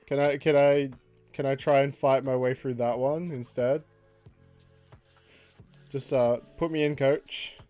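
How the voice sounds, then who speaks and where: A man casually chatting on a livestream while he draws, reading out chat messages, laughing often and jumping up in pitch for jokes. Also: little pitch movement, unhurried, long pauses, mellow, soft background music.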